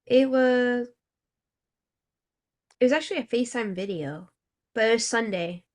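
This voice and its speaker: A woman's voice: fairly high pitch, a little slow. A woman in her twenties, from Thailand, co-hosting a podcast with dry, teasing remarks. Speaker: podcast co-host. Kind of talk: casual chat.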